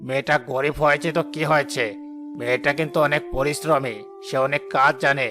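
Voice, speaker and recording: A man's voice: on the high side, steady tone, fairly quick, natural voice. A man playing a domineering, calculating village headman and father in a cartoon. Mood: bossy. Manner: commanding, calculating. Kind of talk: cartoon voice acting. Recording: loud background music.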